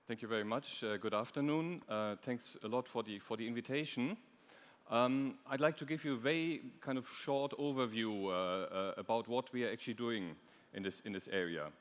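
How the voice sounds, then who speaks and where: A man's voice: mid-range voice, normal speed. Man presenting in a reverberant hall. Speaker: European Commission official, panelist. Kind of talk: conference presentation. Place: large conference stage. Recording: echoey hall.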